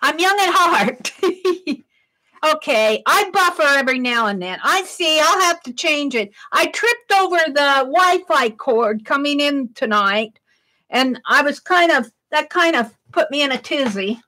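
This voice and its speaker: A middle-aged woman talks through her art livestream in a loud, projected voice, thinking aloud and chatting with viewers. Her voice sits high and bright, and she speaks at an easy, unhurried pace. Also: animated, rough recording. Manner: chatty, thinks aloud, reads chat comments. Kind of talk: casual livestream chat while crafting.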